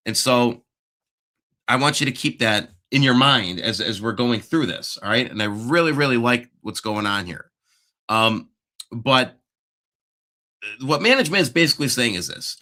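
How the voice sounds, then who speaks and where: Guy going over stock charts on a stream, bright edgy voice, rambling and talking to the chat. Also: mid-range voice. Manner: chatty, self-interrupting, checks the live chat. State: losing his voice. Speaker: stock market show host. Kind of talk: casual stock analysis livestream.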